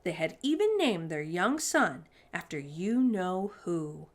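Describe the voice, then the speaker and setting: A woman's voice: lowish voice, sing-song, takes it slowly. A woman hosting a children's story time, reading a storybook and addressing young listeners directly with exclamations.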